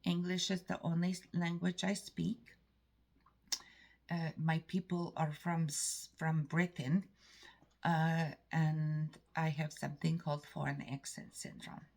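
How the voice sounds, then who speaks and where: Slow, gravelly woman with Eastern European-sounding accent. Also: deep voice, little pitch movement, darkish tone. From Canada. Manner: rambling, halting, frequent restarts. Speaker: vlogger. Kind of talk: casual storytelling monologue.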